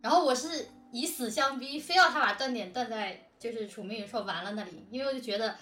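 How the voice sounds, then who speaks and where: Woman, higher-pitched, chatty behind-the-scenes explainer, distant roomy mic with music behind. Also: fast, a bit bright. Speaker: audio drama production team member and lyricist. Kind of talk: casual behind-the-scenes chat.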